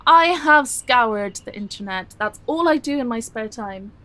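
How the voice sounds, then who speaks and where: Adult daughter for audio drama: higher register, wry, teasing, affectionate, reluctant. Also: female voice, animated, unhurried, fairly bright tone. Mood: wry, exasperated.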